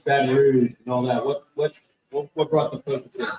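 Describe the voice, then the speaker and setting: A man's voice: medium pitch, fairly even intonation, brisk, narrow, tinny audio. A man co-hosting a podcast, interviewing a convention guest with easygoing questions and repeated words. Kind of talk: casual interview. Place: convention hall. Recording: echoey hall.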